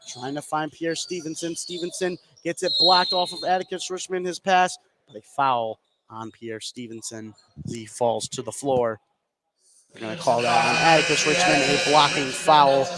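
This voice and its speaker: An American man calling live basketball play-by-play as a radio announcer. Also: on the high side. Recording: live gym broadcast, clearly noisy background. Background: noisy gymnasium ambience.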